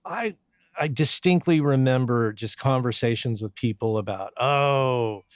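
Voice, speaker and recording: A man's voice: medium pitch, measured pace, no echo, clean studio sound. A man from southern New Mexico hosting a podcast interview, friendly and conversational, often repeating his words as he goes. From southern New Mexico, USA. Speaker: podcast host. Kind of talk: casual interview chat.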